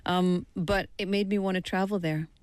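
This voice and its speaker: A woman's voice: lowish voice, steady tone, moderate pace, smooth, dry recording. A young woman from the UK hosting a studio talk show, upbeat and chatty. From United Kingdom. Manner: upbeat, chatty, self-deprecating. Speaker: radio show host. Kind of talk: casual interview chat.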